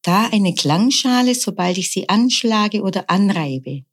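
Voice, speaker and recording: A middle-aged woman, a nurse, explaining her practice in calm, measured interview answers. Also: mid-range voice. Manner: calm, measured, often slow and deliberate. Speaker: nurse and sound bowl practitioner.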